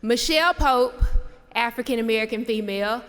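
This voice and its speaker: A woman's voice: a little high, fairly quick, fairly bright tone. A young adult woman, a church lay leader, opening a formal address with welcoming introductions. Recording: long reverb in a large hall.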